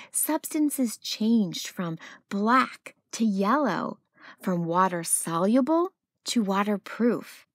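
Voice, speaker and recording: A woman narrating a children's picture book as a read-aloud storyteller, with expressive delivery. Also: mid-range voice, slightly rough, no echo, studio quality.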